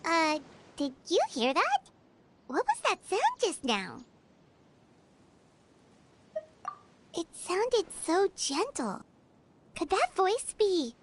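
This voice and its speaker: A woman voicing a small, chirpy female fairy companion in a video game, talking in an excitable, urgent way. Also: very high voice, takes it slowly, heavily acted. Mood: puzzled. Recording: game audio with music behind.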